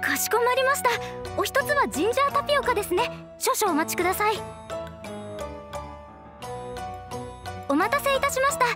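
Squeaky, flustered shop clerk girl. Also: sing-song, quick pace. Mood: politely chirpy. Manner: flustered, stammering, formal service speech. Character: nervous, polite young shop clerk, cute and childlike. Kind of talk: Japanese anime comedy voice acting. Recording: bouncy music behind the voice.

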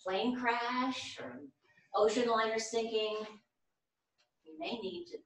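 A woman's voice: fairly high pitch, steady tone, slow pace. An actress as an outspoken older mother, echoey stage. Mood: indignant, sardonic. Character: outspoken, wry older mother with an irreverent streak. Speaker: actor in a staged reading. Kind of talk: theatrical staged reading. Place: small theatre stage. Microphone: distant camera. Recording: echoey theatre, recorded from far off.

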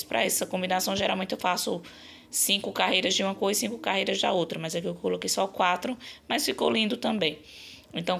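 A slightly hoarse woman with a Brazilian accent, explaining her craft work in a chatty, casual vlog style. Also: mid-range voice, fairly bright tone. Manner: chatty, explanatory, frequent check-in tags. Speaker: crochet channel host.

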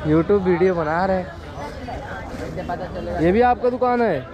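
A man's voice: high-pitched, a little slow, highs cut off, crowd noise. A man walks through an outdoor street market, filming a casual vlog, urging viewers along and asking sellers for prices. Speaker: vlogger touring a street market. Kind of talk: casual walking vlog commentary. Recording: loud crowded street market.

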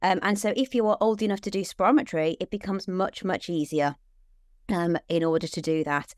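A British woman in her forties, a children's lung doctor, explaining clearly and steadily on a video call from a room at home. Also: on the low side, a little fast, darkish tone, no echo, clean studio sound. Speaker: paediatric respiratory consultant. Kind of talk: answering questions in an online talk.

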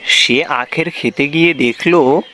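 A man's voice: on the high side, slow pace, pushed and bright, a little hiss or hum. A man narrating a scripted children's folk tale.

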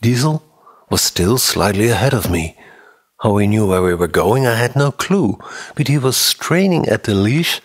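A man in his sixties with a husky voice, giving a tense, dramatic audiobook reading of a mystery novel close to the microphone. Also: medium pitch. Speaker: audiobook narrator. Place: indoor room, office or home studio. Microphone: close condenser microphone on a boom arm.

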